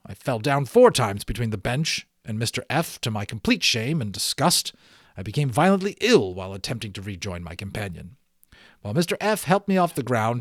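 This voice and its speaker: Animated man reading a story aloud. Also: medium pitch, no echo, studio quality. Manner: expressive, dramatic emphasis. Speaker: audiobook reader.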